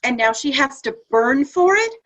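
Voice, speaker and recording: A woman's voice: high voice, big pitch swings, normal speed, fairly bright tone. A woman playing an outspoken, indignant saint in a play reading, emphatic and challenging in her delivery. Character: outspoken, indignant female saint doubting heaven's plan. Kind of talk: virtual table read of a stage play.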